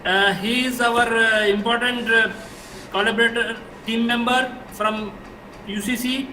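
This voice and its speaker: A man moderating an online event with halting, formal introductions, heard on an echoey, distant call. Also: very high voice, steady tone, sharp tone. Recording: echoey, distant, slightly noisy call audio.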